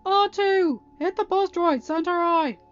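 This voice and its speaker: A woman's voice: very high-pitched, a little slow, voice pushed up. A woman voicing a brash, loyal young male Jedi pilot in a story reading, eager and insistent. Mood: urgent. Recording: dull, band-limited audio with background music.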